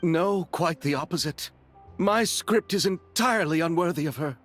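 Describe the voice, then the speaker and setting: A man voicing a romantic, excitable film director in a video game, speaking in a florid, earnest, theatrical manner. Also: a little high, normal speed, faint music behind, lightly acted. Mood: awestruck.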